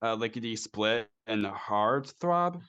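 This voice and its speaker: A man's voice: lowish voice, lively intonation, measured pace, a bit bright, clean tone. A man co-hosting a casual interview, speaking in a hesitant, agreeable way. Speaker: podcast co-host. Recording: dull, lo-fi audio.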